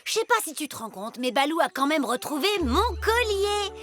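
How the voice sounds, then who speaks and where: A woman's voice: high voice, sing-song, fairly quick, a bit bright, no echo. A woman voicing an eager, sensitive, stubborn young jungle boy in a cartoon dub. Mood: frustrated. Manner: pleading, earnest.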